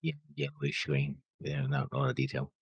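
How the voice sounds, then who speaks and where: A man co-hosting a reaction show, bantering casually in a halting, rambling way and often trailing off. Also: rumbling low, big pitch swings, gravelly, breathy edge, no room sound, lo-fi sound. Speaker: co-host of an anime reaction show.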